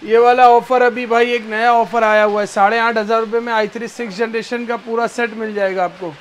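A man's voice: flat delivery, brisk, slight background noise. A man in his thirties from India, walking through a cluttered electronics warehouse on a lapel mic, eagerly reeling off prices and calling the viewer brother. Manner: pitches prices eagerly, calls everyone bhai. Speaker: warehouse seller showing stock. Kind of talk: energetic sales walkthrough.

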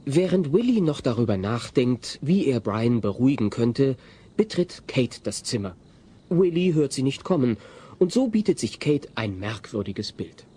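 A male narrator tells a scripted story in a mellow, husky voice. His intonation is animated, as suits an audio play. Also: mid-range voice, normal speed.

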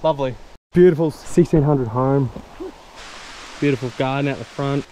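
A man's voice: medium pitch, moderate pace, mellow, slight background noise, low-quality recording. A man chatting casually to his camera while hiking a mountain trail, joking and sarcastic. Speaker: travel vlogger. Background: music.

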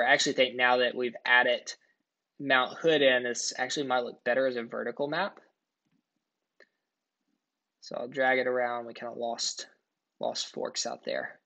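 A man talks through an on-screen tutorial in a relaxed, conversational way, one step at a time. His voice sits at a middle pitch. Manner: casual, step-by-step explaining. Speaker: product tutorial presenter. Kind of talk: screen-recording tutorial voice-over.

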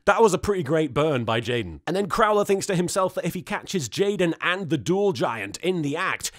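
A man in his thirties giving a comedic, sarcastic recap of a cartoon episode with mock indignation. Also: sits high. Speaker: reactor and recapper of an anime episode.

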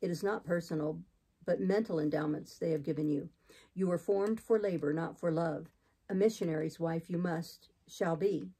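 A woman voicing a zealous, austere male missionary in an audiobook, solemn, deep and unyielding as he exhorts. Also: normal speed, dark tone, husky, poor audio. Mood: relentless. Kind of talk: audiobook character reading.

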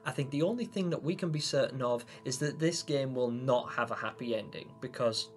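A man in his twenties hosting a scripted theory video, speaking in an expressive, theatrical storytelling way. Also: medium pitch, a little fast, soft background music. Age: 20s. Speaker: video host. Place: small room at home, indoors.

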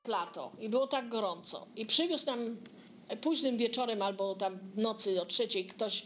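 A woman's voice: fairly high pitch, moderate pace, fairly bright tone, faint music behind. An older woman telling anecdotes conversationally, addressing her listener directly and quoting bits of dialogue. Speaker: local resident sharing memories.

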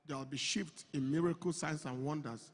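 Emphatic high-voiced pastor preaching. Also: male voice, steady tone, soft background music. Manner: builds from slow, measured delivery to loud, emphatic preaching. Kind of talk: sermon. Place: large church auditorium stage with a crowd. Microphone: handheld microphone. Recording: long hall reverb, slightly breathy.

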